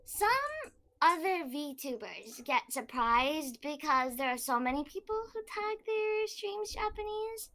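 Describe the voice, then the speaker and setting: Young woman, very high voice, bright tone, giggly, casual podcast chat. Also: animated, takes it slowly. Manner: giggly, laughs often between phrases. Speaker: guest streamer.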